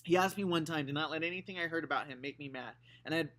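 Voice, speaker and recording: A man's voice: a little high, fast talker, fairly bright tone, natural voice. A man voicing a defiant young girl who argues her side, raised and indignant. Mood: pleading.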